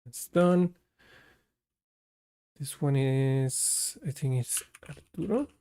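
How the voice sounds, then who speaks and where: A man's voice: mid-range voice, slow, warm tone, clear, resonant tone. A man from Costa Rica hosting a live coding stream, talking through his work and chatting with viewers. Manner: talks through code as he works, chatty with viewers.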